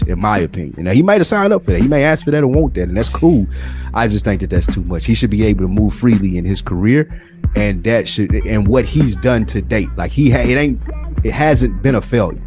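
Low-voiced man on a podcast, music behind. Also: expressive, brisk, darkish tone. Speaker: podcast co-host. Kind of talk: casual opinionated podcast conversation. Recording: music bed under the voice.

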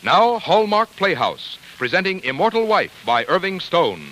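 A man's voice: sits high, very animated, measured pace, a bit bright, low-quality recording. An American man announcing and reading adverts on 1940s radio in a polished, emphatic scripted style. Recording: 1949 radio broadcast with faint music.

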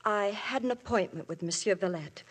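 A woman's voice: mid-range voice, normal speed, breathy edge, close to an everyday voice. A woman playing a troubled wife in a radio play. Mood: defensive. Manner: earnest, emotional, reflective when recalling the past. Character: troubled married woman under police questioning. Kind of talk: 1950s radio drama acting. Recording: old radio broadcast.

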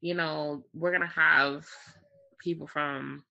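A woman's voice: low-pitched, slow pace, vocal fry, muffled recording. A woman speaking in a casual, conversational interview style, telling things through little acted-out quotes with lots of likes and you-knows. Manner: animated storytelling with acted-out quotes, frequent "like" and "you know". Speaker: air traffic controller, interview guest.

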